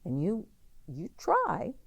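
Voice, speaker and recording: A woman's voice: medium pitch, wildly swooping pitch, takes it slowly, creaky, breathy edge. A woman hosting a children's story time, reading aloud expressively and explaining things directly to young listeners.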